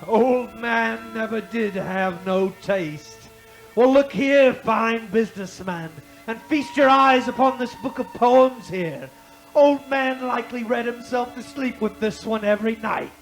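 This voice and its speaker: An actor playing a sly, grumbling scavenger in a radio play, talking in folksy, wheedling phrases. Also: male voice, extremely high pitch, measured pace, faint music behind, poor audio. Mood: crafty, boastful. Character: sly, grumbling scavenger haggling over a dead man's belongings.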